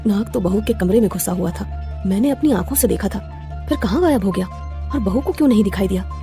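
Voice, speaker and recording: A woman's voice: medium pitch, animated, fast, mellow, soft background music, lightly acted. A woman voicing an anxious, suspicious middle-aged mother-in-law in a dramatic moral story.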